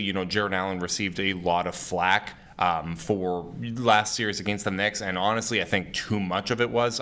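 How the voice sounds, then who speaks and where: Male sports podcast host, slightly hoarse and raspy; low, forceful delivery, emphatic casual analysis. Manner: energetic, emphatic basketball analysis.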